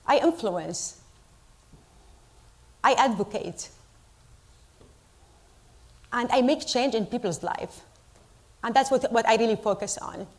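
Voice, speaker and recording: Middle-aged Iraqi-born woman, fairly high voice, earnest deliberate speech to a crowd, English. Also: expressive. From Iraq. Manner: earnest, deliberate, with pauses for emphasis. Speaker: storyteller at a community event. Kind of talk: speech to a live audience.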